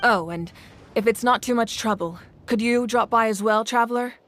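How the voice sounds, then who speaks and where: A woman acting a composed, commanding ruler in a video game, speaking with formal, measured authority. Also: on the high side, a bit bright, lightly acted. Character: commanding, composed female ruler of a warrior nation.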